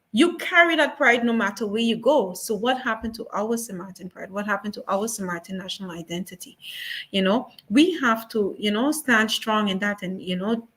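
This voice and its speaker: A woman's voice: medium pitch, fairly bright tone. A woman speaking as a guest on a talk show, earnest and repeating her points for emphasis. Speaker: museum guest. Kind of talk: casual talk show discussion.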